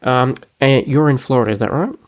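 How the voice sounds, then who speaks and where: A man hosting a tech podcast, talking casually and chattily with a relaxed manner. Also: mid-range voice, brisk. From New Zealand. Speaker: podcast host.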